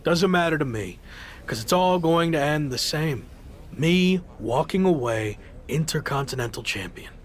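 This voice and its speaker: A man's voice: on the high side, animated, measured pace. A man playing a cocky, defiant young champion wrestler in a video game cutscene, blunt and confident.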